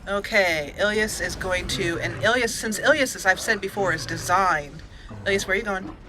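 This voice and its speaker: A woman's voice: medium pitch, moderate pace, noisy background. A woman giving casual, chatty let's play commentary as a gaming host, playfully exclaiming and talking to the characters on screen.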